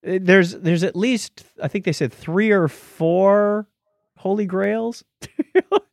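A man co-hosting a podcast in casual conversation, animated, often stammering and restarting his phrases. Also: high-pitched, slow, vocal fry, no room sound, studio quality. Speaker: podcast co-host.

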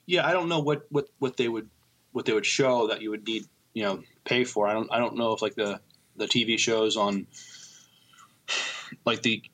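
A man co-hosting a podcast with casual news chat, haltingly repeating words as he goes. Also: on the low side, a bit bright. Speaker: podcast co-host.

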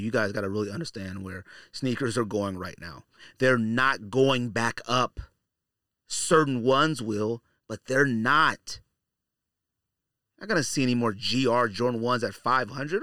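A man's voice: medium pitch, sharp tone, no echo, clean studio sound. A man hosting a podcast in a casual, opinionated monologue, blunt and slangy, repeating phrases for emphasis. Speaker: podcast host.

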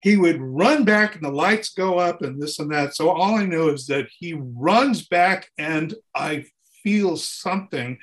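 A man with a notably high voice speaks as a guest in an interview. His style is informal and animated, like a musician swapping tour stories. He acts out what people said and repeats phrases to drive them home. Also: unhurried. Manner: acts out dialogue and sounds while telling stories. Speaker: interview guest, touring keyboard player. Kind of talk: casual animated anecdote telling.

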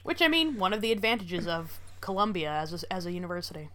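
A Canadian woman co-hosting a casual podcast, trading playful, quick-witted banter. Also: lowish voice, big pitch swings, pushed and bright. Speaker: podcast co-host. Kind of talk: casual chat.

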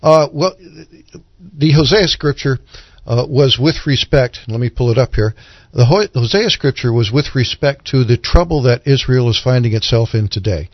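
A man's voice: mid-range voice, normal speed, no echo, highs cut off. Man explaining scripture in dry studio. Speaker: radio Bible commentator and co-host. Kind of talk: explanatory scripture discussion on live radio. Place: radio studio.

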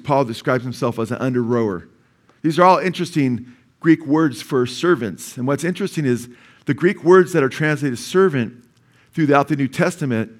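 Californian pastor preaching with emphatic peaks. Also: male voice, on the high side. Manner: explains word meanings, builds to emphatic peaks; calls for congregation's amen. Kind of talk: sermon. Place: church sanctuary.

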